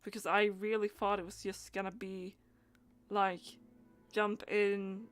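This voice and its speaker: A woman's voice: medium pitch, level tone, unhurried, faint music behind. A woman doing casual live gaming stream commentary, thinking aloud hesitantly and letting phrases trail off.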